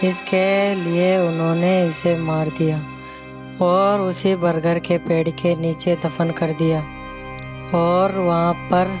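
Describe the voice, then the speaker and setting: A woman playing a frightened male villager in a horror cartoon, with flat, earnest delivery. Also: on the low side. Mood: earnest, resolved. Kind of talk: scripted cartoon dialogue.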